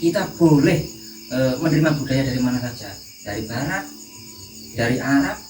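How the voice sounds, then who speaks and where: A man in his thirties with a Javanese accent, speaking haltingly as a guest in an informal panel talk. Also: mid-range voice, animated, over music. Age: 30s. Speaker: discussion guest. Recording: distant, echoey, noisy recording with insects.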